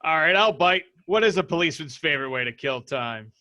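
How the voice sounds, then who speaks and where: A genial old police commissioner, acted by a man at a table read. He has a wry manner and a forceful, slightly high voice. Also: animated, a little fast. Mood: good-humoured.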